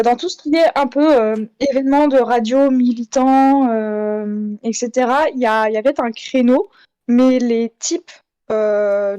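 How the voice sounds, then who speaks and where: A woman speaking in a debate, measured and hesitant, qualifying her points carefully. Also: on the high side, dark tone, no echo. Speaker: panelist in a debate. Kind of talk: thoughtful debate talk about audio fiction.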